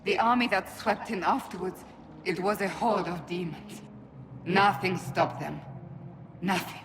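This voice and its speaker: A woman's voice: on the low side, measured pace, in a large hall, noisy background. A woman playing a devout, grief-stricken captive warrior in a fantasy game, solemn and intense. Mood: grave. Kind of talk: dramatic fantasy game dialogue.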